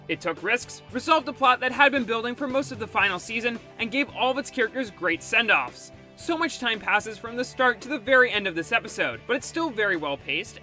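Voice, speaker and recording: A man's voice: very high voice, sharp tone. A man reading a scripted review as host, with music behind him. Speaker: host reviewing cartoon episodes. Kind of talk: scripted narration.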